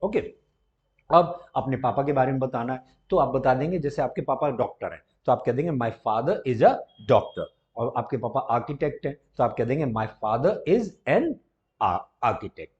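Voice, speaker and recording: An Indian man with a Hindi accent teaching spoken English. He explains in Hindi and says each model English sentence slowly and deliberately. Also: mid-range voice, darkish tone, dull audio. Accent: Indian, Hindi-speaking. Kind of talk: classroom-style spoken English lesson. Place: institute classroom or studio.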